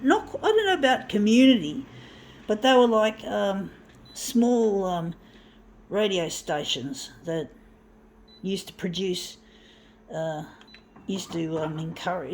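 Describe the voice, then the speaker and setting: A woman's voice: lowish voice, a little hiss or hum, rough recording. A middle-aged woman reminiscing casually about mixtapes and zine culture, rambling with fillers and restarts. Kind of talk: casual reminiscing while working.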